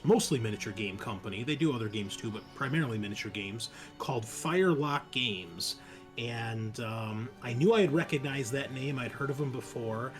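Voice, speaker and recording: A man hosting a show, giving a chatty, informal introduction in a casual style. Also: mid-range voice. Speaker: podcast host.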